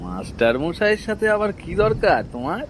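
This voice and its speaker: A man's voice: fairly high pitch, wildly swooping pitch, brisk, background noise. A man playing a self-important, easily offended village headman in a horror cartoon. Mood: indignant. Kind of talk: Bengali horror cartoon voice acting.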